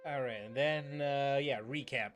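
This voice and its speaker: A woman's voice: extremely low pitch, unhurried. A woman doing casual gameplay commentary as a streamer, reacting playfully to the game. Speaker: gaming streamer. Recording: game music behind voice. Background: game music.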